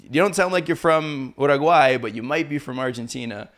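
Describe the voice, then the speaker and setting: A man from Canada hosting a casual interview, relaxed and chatty. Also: medium pitch, brisk. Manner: relaxed, chatty, laughs often. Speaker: podcast host.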